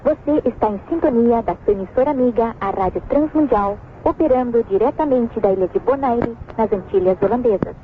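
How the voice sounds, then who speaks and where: A woman's voice: a little high, brisk, hardly any pauses. A woman radio announcer reading a scripted station identification in a formal broadcast style. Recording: tinny phone-like archival radio, faint noise.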